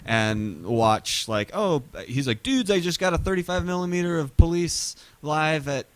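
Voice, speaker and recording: A man's voice: fairly high pitch, lively intonation, fairly bright tone, smooth, dry recording. A man chatting casually as a musician guest, telling rambling, slangy anecdotes that he often interrupts himself. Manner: halting, self-interrupting, slangy.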